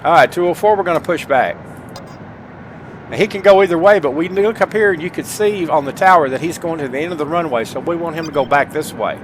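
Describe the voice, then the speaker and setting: A man's voice: a little high, fast, noisy background. A middle-aged man giving a casual, folksy step-by-step game tutorial on a headset, addressing his viewers informally. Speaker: gameplay tutorial host.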